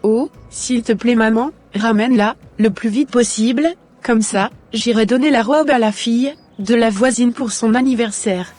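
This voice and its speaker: Woman playing a high-voiced scheming teenage girl. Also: moderate pace, darkish tone, faint music behind, close to an everyday voice. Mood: indignant, scheming. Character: scheming, indignant teenage daughter urging her mother on. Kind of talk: animated moral drama dialogue.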